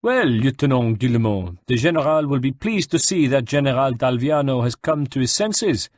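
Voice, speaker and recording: A man playing a high-voiced officious French guard captain. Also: very animated, normal speed, darkish tone, no room sound, close to an everyday voice. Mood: suspicious. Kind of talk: dramatised audiobook narration.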